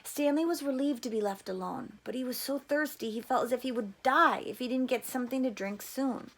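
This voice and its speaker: A woman's voice: high voice, a little fast. A woman reading a children's novel aloud as a teacher would.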